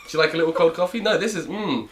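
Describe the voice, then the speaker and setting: A man's voice: fairly high pitch, lively intonation, racing. A man in his twenties from Romania, a comedian guest chatting casually and joking in a rambling way. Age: 20s. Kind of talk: casual chat.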